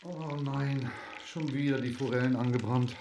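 A man's voice: mid-range voice, steady tone, moderate pace, noisy background, lightly acted. A man acting an anxious, bookish foster father in a children's radio play, hesitant and fretful. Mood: sheepish.

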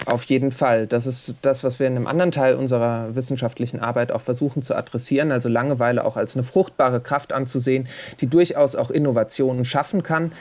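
A man, a neuroscientist, answering interview questions in a measured, explanatory, academic manner with some fillers. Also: medium pitch, steady tone, a little mellow. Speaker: neuroscientist guest. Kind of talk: radio interview.

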